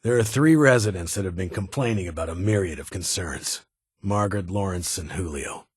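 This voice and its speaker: An actor playing a stern, weary security chief in a video game, with a husky voice and a formal, clipped, authoritative manner. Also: male voice, low-pitched, lively intonation, brisk, dry recording, heavily acted. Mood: formal, businesslike. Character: stern, weary male vault security chief, guarded but honorable.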